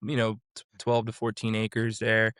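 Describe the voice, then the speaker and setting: A man's voice: lowish voice, monotone, normal speed, a bit bright, clear, resonant tone, dry recording, studio quality. A man in his forties from Florida, a vocal coach and producer, talking casually as an interview guest and rambling through his story with many restarts. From Florida, USA.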